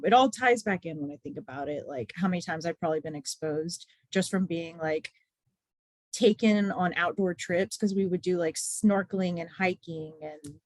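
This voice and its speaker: A woman in her twenties from Texas, a nurse and patient advocate calling in to a podcast interview, who talks in a flat, monotone way with lots of "like" and "um". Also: fairly low pitch, dry recording. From Texas, USA. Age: 20s. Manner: self-described monotone, flat delivery; loose, chatty, many "like" and "um" fillers. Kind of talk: casual interview chat. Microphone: remote call-in.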